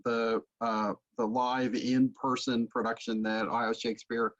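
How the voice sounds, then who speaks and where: A man in his forties hosts a casual discussion over a video call. His voice is medium in pitch, and the computer microphone makes it sound dull and lo-fi. He moderates in an informal, conversational style. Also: little pitch movement, a little slow. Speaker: host, interviewer. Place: book-lined home study, video call.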